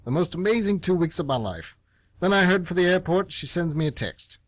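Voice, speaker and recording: A man's voice: high voice, expressive, fairly quick, no echo. A man playing an exasperated, heartbroken traveller in an amateur radio play, irritable, blunt and incredulous. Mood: wounded, incredulous. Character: exasperated, heartbroken male traveller snapping at a stranger.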